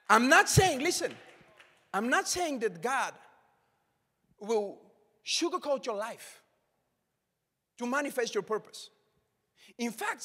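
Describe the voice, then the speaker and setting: A young man preaching a sermon to a congregation with a handheld mic, swinging from shouted calls to hushed asides. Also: high-pitched. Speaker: pastor. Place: large church auditorium with congregation. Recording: long hall reverb from the sanctuary.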